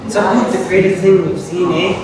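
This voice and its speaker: A man's voice: sits high, steady tone, fairly quick, voice pushed up, close to an everyday voice. A man playing a folksy, grumbling stereotypical Canadian with a Canadian accent, tagging remarks with questioning interjections in improvised comic banter. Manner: tags nearly every remark with a questioning interjection. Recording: very echoey, distant, noisy room.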